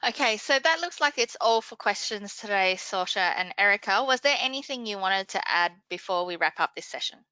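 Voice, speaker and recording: A woman's voice: medium pitch, expressive, normal speed, hardly any pauses, sharp tone, smooth, no room sound, lo-fi sound. A woman moderating an online webinar, politely reading out questions and signing off in an orderly way. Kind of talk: scripted-sounding question reading and sign-off.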